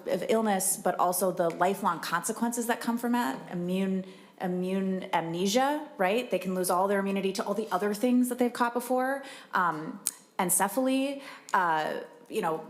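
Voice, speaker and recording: A woman's voice: medium pitch, normal speed. A woman of forty speaking as a state senator in a committee, arguing earnestly in a conversational way. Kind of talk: legislative committee remarks.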